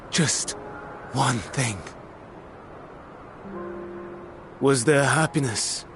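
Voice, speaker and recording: An actor playing an earnest, grief-stricken man in a video game cutscene, speaking solemn, archaic lines in halting phrases. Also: medium pitch, natural voice. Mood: quiet pleading. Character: Hermes, sorrowful, earnest man wracked with failure.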